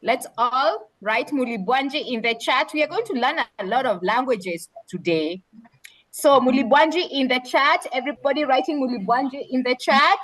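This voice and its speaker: Brief: high-pitched woman as a video-call moderator, prompting speakers and echoing their words. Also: animated, moderate pace, a bit bright. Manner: prompting and repeating participants' words. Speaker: moderator of an online summit. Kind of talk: hosting a video call, calling on participants.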